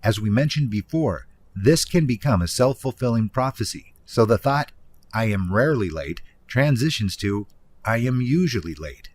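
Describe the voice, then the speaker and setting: A man reading a nonfiction audiobook in an instructive, emphatic way, stressing key phrases deliberately. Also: medium pitch, lively intonation, darkish tone, husky. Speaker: author narrating own audiobook. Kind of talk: scripted nonfiction audiobook narration.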